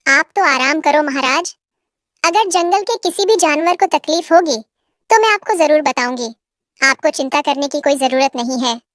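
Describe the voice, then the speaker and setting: A woman voicing a deferential female fox, eager to flatter and reassure her king in a children's cartoon. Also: high voice, normal speed, no echo, clean studio sound. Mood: placating. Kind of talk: children's animal moral-story cartoon.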